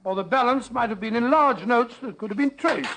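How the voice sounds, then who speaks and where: A man playing a skeptical, bumbling English gentleman in an old-time radio drama, blustering and stumbling over his words. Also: very high voice, fairly quick, slight background noise. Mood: amused, skeptical. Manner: stammering, blustering, repeats words.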